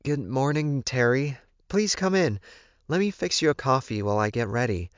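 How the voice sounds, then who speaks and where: A man's voice: medium pitch, lively intonation, normal speed, clear, resonant tone, no room sound, dull audio, voice pushed up, lightly acted. A man voicing a modest, polite young woman, an earnest werewolf heroine in an audiobook. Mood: polite, bright.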